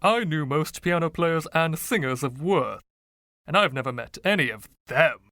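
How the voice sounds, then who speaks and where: A man's voice: fairly high pitch, expressive, normal speed, bright, forceful tone, no room sound, clean studio sound. A man voicing the haughty, indignant ghost of a rich 1920s dandy, pompous and fussy, with old-fashioned slang, in a story reading. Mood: imperious.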